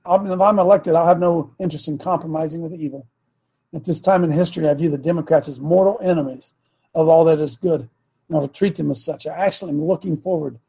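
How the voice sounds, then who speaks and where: A raspy older man holds forth as a talk show host, rambling and preaching between throat clears. His voice sits high for a man but sounds dark and husky, with a gravelly, phlegmy edge. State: phlegmy, coughing. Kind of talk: casual political commentary.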